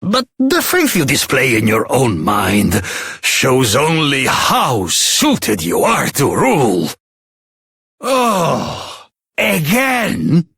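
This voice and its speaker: An actor playing a pompous, stubborn old king with a raspy, creaky voice and flowery, theatrical speech, in a fantasy video game. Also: medium pitch, wildly swooping pitch, extremely slow, fairly bright tone, no room sound, full character voice. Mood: insistent, wheedling. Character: weary, wheedling, stubborn old king eager to abdicate.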